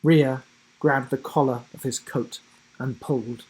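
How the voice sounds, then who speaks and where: A man reading a children's storybook aloud in a dramatic, suspenseful way with deliberate pauses. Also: mid-range voice, steady tone, darkish tone, breathy edge, poor audio. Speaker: children's book narrator. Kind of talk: dramatic scripted storybook narration.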